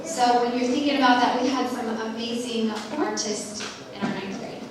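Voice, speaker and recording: A woman's voice: a little high, normal speed. A woman presenting at a school awards ceremony, thanking parents and introducing teachers in an upbeat way. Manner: warm, upbeat, thanks and praises the audience. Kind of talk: speaking to an audience at a school ceremony. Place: church hall. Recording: echoey hall, distant mic, audience noise.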